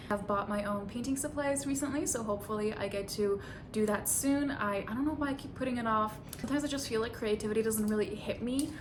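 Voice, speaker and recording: Young woman vlogger from Bulgaria, fairly high voice, casual chatty Q and A with easy laughs, faint rumble underneath. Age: 20s. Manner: chatty, laughs easily, self-conscious asides. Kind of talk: casual Q and A vlog.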